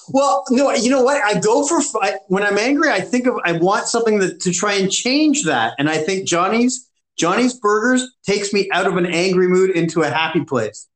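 A man co-hosting a casual podcast chat, talking in halting restarts and laughing easily. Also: high voice, expressive. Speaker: podcast co-host.

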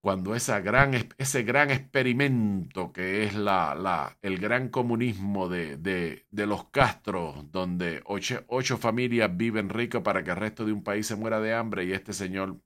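A man's voice: fairly low pitch, unhurried, hardly any pauses, fairly bright tone. A man hosting a show, talking unscripted about film and wrestling history, rambling with frequent restarts and an emphatic, opinionated delivery.

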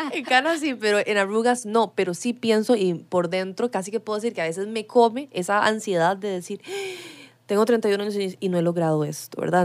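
Chatty woman in her early thirties. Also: medium pitch. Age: 30s. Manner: chatty, rambling, full of fillers like "o sea". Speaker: panelist. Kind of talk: casual panel chat.

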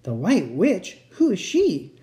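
A woman's voice: fairly low pitch, wildly swooping pitch, slow, dark tone, full character voice. A woman voicing an earnest, kind-hearted young girl who is polite and pleading, in a storybook reading. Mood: gentle, earnest.

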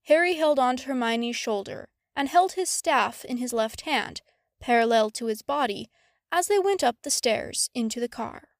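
High-voiced woman narrating a story. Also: animated, moderate pace, dry recording, studio quality. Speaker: fan fiction audiobook narrator.

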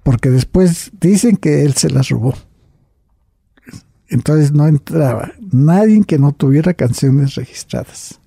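A man's voice: on the high side, animated, unhurried, creaky, warm tone, husky, no room sound, studio quality. An older man recounting personal memories in conversation, often adding "right?" and "you see?" and restarting his phrases.

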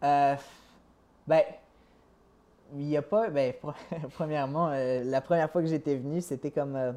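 A man's voice: on the high side, moderate pace. A young man from France with a French accent turning Québécois, telling stories casually in an interview and acting out the dialogue. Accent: French, partly Québécois. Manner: animated storyteller, acts out dialogue, laughs often. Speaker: guest.

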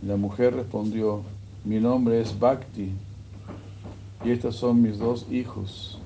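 Slow, dark-voiced male preacher. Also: lowish voice, flat delivery, dull audio, a little hiss or hum. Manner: slow, measured storytelling with pauses. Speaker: religious speaker. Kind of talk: sermon retelling scripture.

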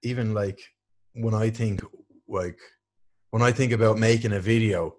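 A man's voice: fairly low pitch, fairly even intonation, moderate pace. Joking, rambling young man. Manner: rambling, swearing, joking banter. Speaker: podcast co-host. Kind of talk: casual chat.

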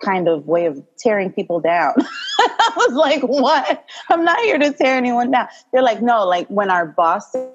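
A woman from Congo, a doula and podcast guest, talking in a calm, direct way and laughing often. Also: a little high, a little slow, highs cut off, slight background noise. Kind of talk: casual podcast interview.

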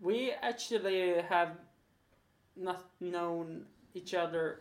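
A woman's voice: on the low side, slow pace, echoey room. A woman with a Swedish accent hosting a casual podcast interview, hesitant with many fillers and easy laughs. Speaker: podcast host.

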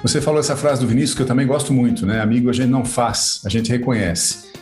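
A man's voice: mid-range voice, steady tone, fast, a little mellow, faint music behind. A man, explaining things conversationally as a guest, chatty and colloquial with frequent tag questions. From Sorocaba, São Paulo state, Brazil. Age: 50s. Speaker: podcast guest.

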